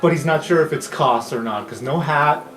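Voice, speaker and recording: A man's voice: fairly high pitch, moderate pace, fairly bright tone. A man narrating a tabletop roleplaying game as game master, casual and improvised. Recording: roomy sound with music behind.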